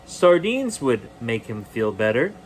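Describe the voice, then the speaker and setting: A man reading a children's bedtime story aloud expressively, with deliberate dramatic pauses. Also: mid-range voice, sing-song, low-quality recording.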